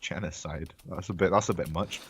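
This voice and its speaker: Low-voiced esports caster, dry close sound. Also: male voice, steady tone, fairly quick, a bit bright, husky, no room sound. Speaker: esports co-commentator. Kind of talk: casual match commentary.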